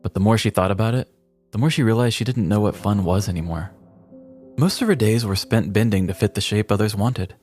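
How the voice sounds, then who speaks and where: Low-voiced man narrating a comic story. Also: fairly quick, darkish tone, soft background music. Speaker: podcast narrator. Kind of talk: scripted comedic story narration.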